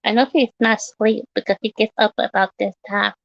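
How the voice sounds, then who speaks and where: Hoarse, gravelly woman ranting on a livestream. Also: mid-range voice, fairly even intonation, fairly quick, no echo, muffled recording. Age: 30s. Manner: repetitive, blunt, swearing, rambling. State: slightly hoarse from yelling. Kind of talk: casual livestream rant.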